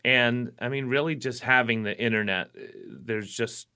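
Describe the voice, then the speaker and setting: A man's voice: medium pitch, moderate pace, bright, forceful tone, clean tone. A man, a musician guest in a radio studio, talking in a rambling casual way with lots of fillers and restarts. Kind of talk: casual interview chat.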